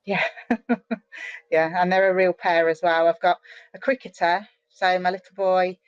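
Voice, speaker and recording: A middle-aged woman from the UK chatting as an interview guest, animated and full of quick restarts and fillers. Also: fairly low pitch, clear, resonant tone, muffled recording. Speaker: guest, online marketer. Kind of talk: casual interview chat. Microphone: video call.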